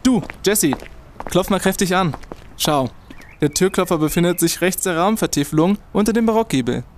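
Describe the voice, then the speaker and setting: A man's voice: sits high, lively intonation, moderate pace, noisy background. A man playing a jaunty, bossy student who leads his friends with old-fashioned sailor slang in an audio play. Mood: jaunty, commanding. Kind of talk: German audio play acting.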